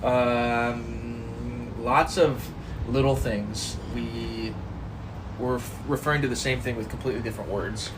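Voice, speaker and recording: A man's voice: mid-range voice, a little slow. American guy chatting easy, lots of ums, sounds far off with hum behind. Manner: relaxed, hesitant, amused. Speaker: interviewee. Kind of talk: casual chat. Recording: distant mic with background hum.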